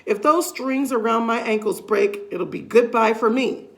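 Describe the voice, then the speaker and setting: A woman reader puts on a beastly old storybook wife. She is frantic and exclamatory, with a creaky edge to her voice as she cries out. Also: a little high, normal speed, fairly bright tone. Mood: anxious. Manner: exclamatory, crying out.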